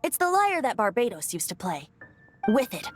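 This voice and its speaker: A woman's voice: on the high side, sing-song, moderate pace, faint music behind. A woman voicing a whimsical boyish young bard in a video game, theatrical and coaxing, with a lyrical way of phrasing. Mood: earnest.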